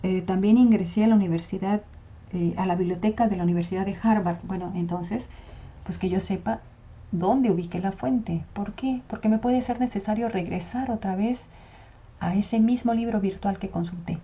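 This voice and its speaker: A woman teaching a university class, with a very dark, mellow voice. She works through her points methodically and asks questions that she then answers herself. The audio is dull and lo-fi. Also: medium pitch, normal speed. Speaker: university lecturer. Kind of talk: online lecture with slides.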